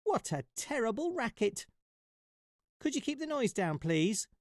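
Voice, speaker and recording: A man voicing a tiny, bold male mouse in a high, squeaky voice. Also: sing-song, a little slow, no echo, studio quality, full character voice. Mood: indignant.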